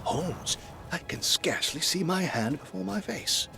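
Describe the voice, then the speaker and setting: An actor playing an earnest, apprehensive, loyal gentleman companion and storyteller in an audio drama, with formal dramatic delivery. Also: fairly high pitch, expressive, a little slow, vocal fry, soft background music, exaggerated voice. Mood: uneasy. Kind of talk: audio drama acting and first-person narration.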